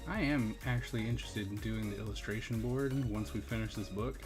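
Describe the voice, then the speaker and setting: A man's voice: on the low side, a little fast, music in the background. A man chatting casually and laughing often while he paints on a livestream. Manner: laughs often, reads chat aloud. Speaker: streamer.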